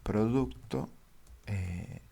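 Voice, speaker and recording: A man teaching a database lesson over a screen share, speaking haltingly with many fillers. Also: fairly low pitch, creaky, dark tone, slightly rough. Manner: halting, many fillers, addresses viewers formally. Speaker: database instructor.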